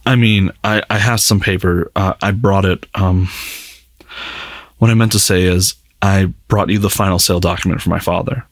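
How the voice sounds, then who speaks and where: Man as a flustered young lord, husky and low. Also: fairly even intonation, no room sound. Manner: halting, flustered, apologetic. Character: awkward, eager young nobleman suitor. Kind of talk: scripted ASMR roleplay acting.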